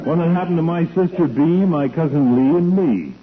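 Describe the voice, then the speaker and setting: An actor playing a teasing, mock-polite town doctor in a 1940s radio comedy. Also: male voice, on the high side, moderate pace, crowd noise, close to an everyday voice. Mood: mock-amused. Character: teasing, drily mocking middle-aged town doctor. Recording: tinny vintage radio, audience behind.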